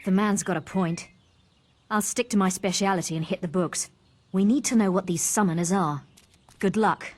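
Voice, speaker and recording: An actress playing a brisk, practical guild hero in a fantasy game. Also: female voice, medium pitch, lightly acted.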